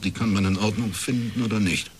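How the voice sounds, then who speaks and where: An actor playing an educated, skeptical private detective in a radio crime drama, terse, dry and matter-of-fact. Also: male voice, fairly low pitch, fairly even intonation, a little fast, a little hiss or hum, lightly acted. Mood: skeptical, challenging. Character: skeptical, uneasy male private detective, educated, narrating his case. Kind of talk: radio crime drama, dialogue and first-person narration.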